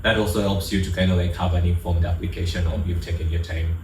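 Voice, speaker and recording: A man's voice: low voice, little pitch movement, fast, darkish tone. A young man from Uganda, a college freshman speaking as a panel guest, hedging thoughtfully with "like" and "kind of". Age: young adult. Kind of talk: casual panel chat. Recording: roomy, from a distance, faint noise.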